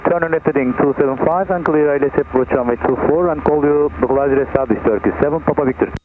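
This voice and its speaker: A man's voice: on the high side, fast talker. A man speaking as an airline pilot, giving procedural readbacks over aviation radio. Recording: tinny noisy aircraft radio.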